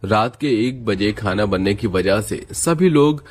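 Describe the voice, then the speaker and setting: A man's voice: on the low side, animated, unhurried, darkish tone, soft background music. A man narrating a scripted family moral story.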